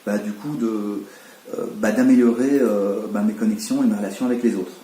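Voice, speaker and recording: A man's voice: on the low side, fairly even intonation, normal speed, mellow, echoey room, poor audio. Hesitant Belgian-born man around forty. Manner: hesitant, many fillers and restarts. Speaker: interviewee. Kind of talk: casual interview chat.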